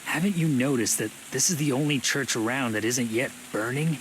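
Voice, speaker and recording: A man voicing a world-weary, fatalistic survivor in a video game, grim and measured. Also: medium pitch, fairly bright tone, natural voice, poor audio. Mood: grim, wary. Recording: rain and ambient noise.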